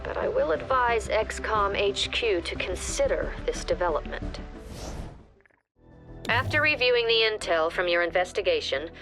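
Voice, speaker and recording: An actress playing a mission briefing officer in a game, giving orders in a crisp, clipped tone over soft music. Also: female voice, mid-range voice. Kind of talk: game cutscene voice acting.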